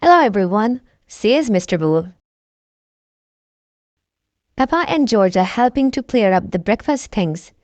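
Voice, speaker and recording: A woman reading a bedtime story aloud for young children in a simple, deliberate storytelling manner. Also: medium pitch, animated, darkish tone, no echo, muffled recording.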